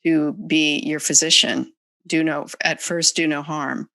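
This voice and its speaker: A woman's voice: low-pitched, steady tone, measured pace, pushed and bright, no room sound. An American woman hosting a casual podcast interview, reacting with sympathy and exclamations as she asks questions. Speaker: podcast host.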